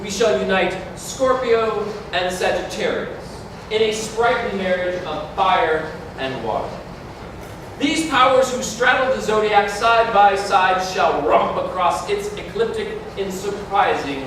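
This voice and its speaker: A man acts as an exalted officiant at a mystical stage wedding. His high voice moves slowly through solemn, incantatory verse. It comes from far off in a very echoey hall. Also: expressive, background noise. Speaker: actor in a staged reading. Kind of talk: ceremonial theatrical verse recital. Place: large community hall, staged reading.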